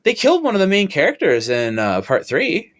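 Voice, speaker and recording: A man on a casual online panel, loud and profane, rambling with lots of fillers. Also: high-pitched, huge pitch swings, a little fast. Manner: loud, swears freely, rambling with many fillers.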